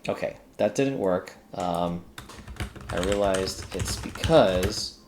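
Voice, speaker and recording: Male screencast host, low gravelly register, informal think-aloud delivery with self-directed muttering, light background noise. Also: slow pace. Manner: mutters to self while working. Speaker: screencast host working through a coding task. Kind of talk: casual think-aloud coding tutorial.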